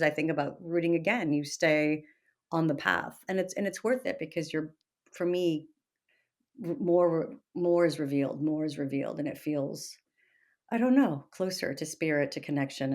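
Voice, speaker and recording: A woman in her early fifties from Texas speaks as an interview guest in a slightly low register. Her delivery is conversational and personal, emphatic, and often halting as she rephrases herself. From Texas, United States. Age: early 50s. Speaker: podcast guest, author and meditation teacher.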